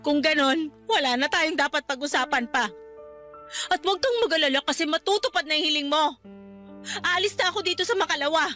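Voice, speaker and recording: A high-pitched, wounded Filipino housemaid. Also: female voice, brisk, sharp tone, soft background music. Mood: cold, bitter. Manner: tearful, reproachful, emphatic. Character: betrayed, hurt young housemaid, angry and proud. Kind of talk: Filipino radio drama acting.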